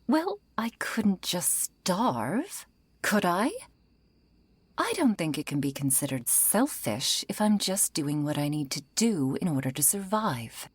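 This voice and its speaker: A low-voiced, century-old vampire woman, played by an actress in an intimate ASMR roleplay. She speaks slowly and softly, slipping toward whispers. She is composed and wry, with polite menace and a trace of loneliness. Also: very animated, dry recording, heavily acted. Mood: defensive, wry. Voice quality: soft, breathy, often falling into whisper.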